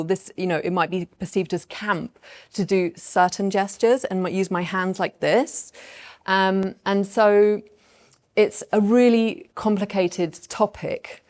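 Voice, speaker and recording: A woman voice coach on a panel, explaining her ideas to an audience in a thoughtful, measured way with light laughs. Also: on the low side, steady tone, darkish tone. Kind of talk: panel talk answering audience questions.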